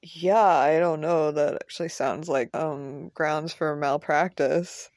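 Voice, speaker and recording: A woman's voice: deep voice, steady tone, darkish tone, dry recording, voice pushed down. Lady acting a mild educated prof guy, hesitant, precise, kinda dry, audio drama. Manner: hesitant, precise, measured wording. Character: mild, dry, educated male college professor.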